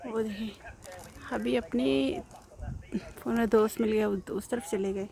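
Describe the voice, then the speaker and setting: A woman's voice: on the high side, steady tone, very fast, vocal fry, mellow. A woman vlogger giving casual running commentary on what is around her. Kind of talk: casual vlog commentary on surroundings. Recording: busy crowd noise around. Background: crowd chatter.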